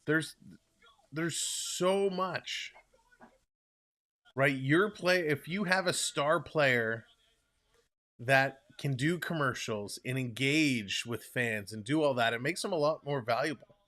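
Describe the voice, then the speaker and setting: A man's voice: mid-range voice, slow, a bit bright. A young man with a Toronto Canadian accent, rambling casually as he hosts a live hockey stream and answers viewers' comments. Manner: chatty, rambling, reads and answers chat aloud.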